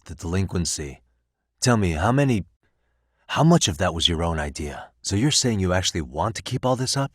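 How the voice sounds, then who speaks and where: A deep-voiced American man a streetwise, principled detective in a video game. He talks casually with a wry, determined edge, and the sound is dry and close. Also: no echo. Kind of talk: video game voice acting, naturalistic dialogue.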